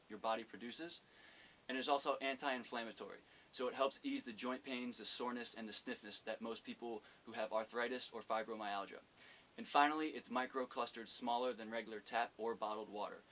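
A young man in his twenties, the owner of a cafe, delivering a rehearsed business pitch to camera in an earnest, steady way, with the odd slip. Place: indoor studio set. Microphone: fixed camera framing, head and shoulders. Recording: faint hiss.

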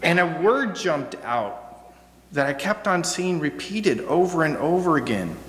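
Slow, echoey American man preaching. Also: on the high side, very animated, a bit bright. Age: middle-aged. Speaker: guest preacher. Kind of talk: reflective sermon. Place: church sanctuary, at a pulpit. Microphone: gooseneck pulpit microphone. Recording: echoey hall, noticeable hum.

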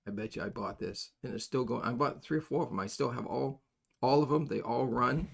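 An older man with a noticeably creaky, gravelly voice at an average pitch teaches a practical task at his bench. His delivery is folksy and halting, rambling along informally as he demonstrates each step. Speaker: soldering instructor. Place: indoors at a workbench.

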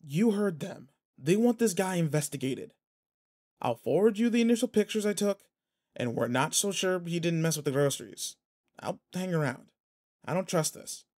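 A man acting in an audio roleplay as a protective, teasing, rough-edged but kind pretend boyfriend, talking intimately and casually. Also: sits high, lively intonation, moderate pace, a little mellow. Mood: firm, uneasy.

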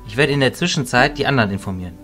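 A fatherly old wizard who leads his fellows, played by a man in a fantasy audio drama. He speaks in a medium-pitched voice, calm and considerate. Music runs behind the voice. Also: rapid-fire, close to an everyday voice. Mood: calm, friendly. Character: fatherly, kindly old male wizard leader.

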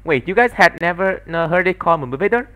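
Man playing an excitable, high-pitched stubborn young woman. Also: quick pace. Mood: incredulous. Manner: exclaiming, insistent. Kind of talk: fan dub of a visual novel.

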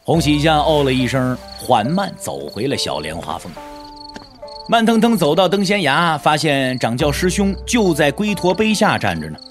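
A man's voice: fairly high pitch, wildly swooping pitch, moderate pace, faint music behind. A man narrating a martial arts audio drama in a dramatic, scripted storytelling style.

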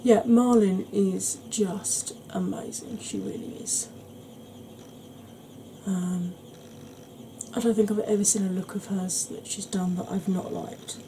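Brief: middle-aged woman, Welsh-Yorkshire accent, unhurried soft chat, home recording with steady background hiss. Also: medium pitch. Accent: Welsh and Yorkshire mix. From England, raised by Welsh family. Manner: deliberately slow, gentle, chatty. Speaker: beauty YouTuber. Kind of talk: slow soft-spoken makeup tutorial chat.

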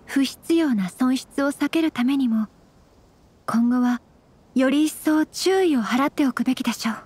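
An actress playing a dignified young noblewoman in a Japanese game dub, formal, courteous and composed, gentle but firm. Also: sits high, moderate pace, slightly rough. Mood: calm, earnest. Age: young adult.

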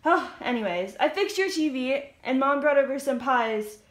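A woman's voice: high voice, lively intonation, normal speed, reverberant. A woman voicing a flippant, dramatic teenage girl in a puppet show, with an exaggerated, whiny delivery. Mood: chirpy.

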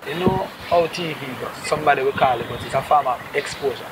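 A man's voice: mid-range voice, fast. A young man with a Jamaican accent, a reggae singer telling his story at length in patois during an outdoor interview. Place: rooftop outdoors overlooking a city. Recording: loud outdoor noise, wind and vehicles. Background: wind and traffic.

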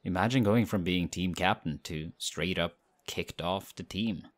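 A man's voice: deep voice, a little slow. A man playing a modest young team captain. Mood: excited, friendly. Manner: relaxed, modest, chatty. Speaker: audio roleplay actor. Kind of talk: audio roleplay.

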